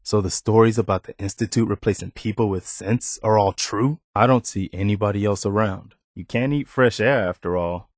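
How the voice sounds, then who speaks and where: Low-voiced, earnest American militia companion, played by a man. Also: no room sound, clean studio sound, lightly acted. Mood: conversational. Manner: earnest, plain-spoken, measured. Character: earnest, principled militia companion in post-apocalyptic wasteland. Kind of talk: video game companion dialogue.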